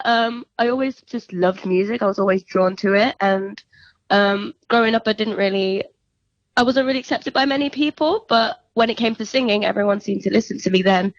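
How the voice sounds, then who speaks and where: A woman's voice: mid-range voice, brisk, no room sound. Young woman musician chatting in a phone interview, reflective, muffled lo-fi line, dry. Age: young adult. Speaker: singer-songwriter being interviewed. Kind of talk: casual, reflective interview chat.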